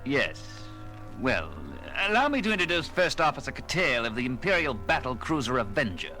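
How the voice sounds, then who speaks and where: A man's voice: medium pitch, sing-song, normal speed, pushed and bright, faint music behind. A man playing an oily, hot-tempered alien ambassador with pompous, falsely courteous manners in a sci-fi audio drama. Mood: smug, sneering. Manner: pompous, unctuous.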